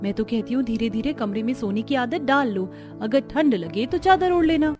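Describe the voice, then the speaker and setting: A woman plays a young sister-in-law in a cartoon story. She speaks frankly in a fairly high voice, as one giving advice. Faint music is behind her. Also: expressive, normal speed, lightly acted. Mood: frank, concerned. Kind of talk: cartoon story character acting.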